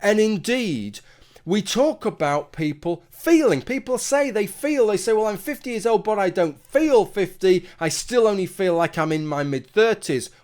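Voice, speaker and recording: Male commentator, lightly raised pitch, talks through an argument with asides to the viewer. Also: animated, moderate pace, a little mellow. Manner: argumentative, conversational, rhetorical asides. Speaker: commentary video host. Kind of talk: solo opinion monologue.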